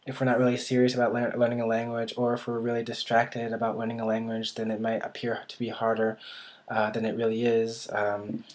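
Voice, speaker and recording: Hesitant young man, flat even voice. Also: medium pitch, brisk. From United States. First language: English. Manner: hesitant, many ums and restarts; thinking aloud, self-correcting. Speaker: language learner vlogger. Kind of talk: casual unscripted talk to camera.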